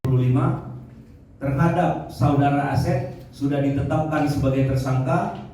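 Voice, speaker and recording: Male official, formal statement, measured short phrases, mellow tone, heavily reverberant room. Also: a little high, steady tone, a little hiss or hum. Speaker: prosecution official briefing the press. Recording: very echoey room, distant microphone.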